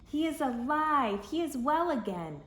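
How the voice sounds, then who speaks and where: A woman voicing excited male servants who bring good news in a children's Bible storybook, speaking emphatically. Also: sits high, measured pace, pitched above the performer's own voice, full character voice. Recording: echoey room with faint background noise.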